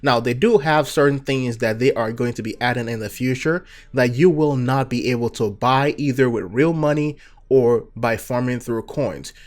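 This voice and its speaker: A young man from Nigeria with a Nigerian accent, hosting a gaming channel and chatting through viewer questions in a relaxed, filler-heavy way. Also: medium pitch, normal speed. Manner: relaxed, chatty, many fillers and "you know"s. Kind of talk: casual Q&A talk to viewers.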